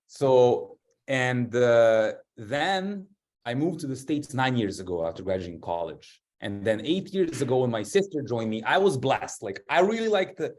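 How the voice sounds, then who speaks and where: Man, rambling and informal. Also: medium pitch. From Serbia. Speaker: guest, author and breath work and meditation expert. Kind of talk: casual personal storytelling to an audience.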